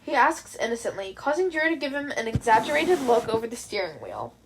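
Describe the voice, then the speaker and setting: A woman with a fairly high voice reads a story aloud. She is an amateur audiobook narrator, moving between scripted prose and casual asides to listeners. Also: very animated, brisk, slight background noise, rough recording. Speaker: amateur fan fiction audiobook reader.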